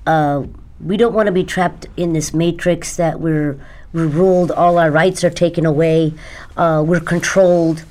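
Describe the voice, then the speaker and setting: Low-pitched middle-aged woman from India. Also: fairly even intonation, a little slow, a little mellow. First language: Gujarati. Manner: earnest spiritual talk. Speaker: podcast guest. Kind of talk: conversational interview answers.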